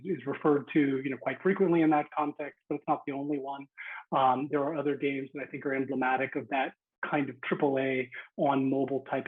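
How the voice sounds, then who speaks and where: Man, slightly high, husky, measured, tinny phone-like audio. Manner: measured, lots of "you know". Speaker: investor on a panel. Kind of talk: panel discussion.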